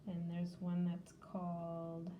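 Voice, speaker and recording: A woman's voice: on the low side, completely flat delivery, slow pace, dull audio, slight background noise. A woman hosting a children's library storytime, casually showing a craft and game step by step in simple words.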